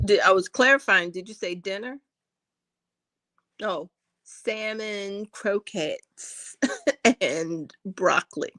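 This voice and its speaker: A woman's voice: medium pitch, slow, pauses often, bright, forceful tone, dry recording. A sleepy woman, giving easygoing, amused replies in casual chat. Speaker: church praise team member.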